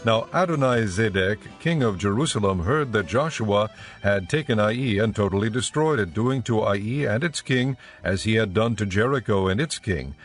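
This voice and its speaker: A man giving a measured, solemn scripted narration for a dramatized audio Bible. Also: fairly low pitch.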